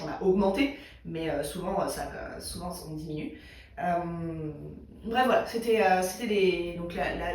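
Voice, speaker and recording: A woman's voice: medium pitch, a little mellow. A woman hosting a knitting video in a casual, rambling chat, with a slightly congested throat and lots of fillers. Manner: rambling, many fillers and restarts. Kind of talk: casual chat thinking aloud. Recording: echoey room, mic far away.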